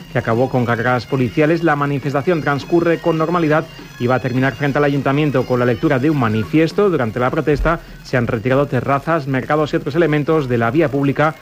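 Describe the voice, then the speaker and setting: A man reading a radio news bulletin in a formal, brisk manner. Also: mid-range voice.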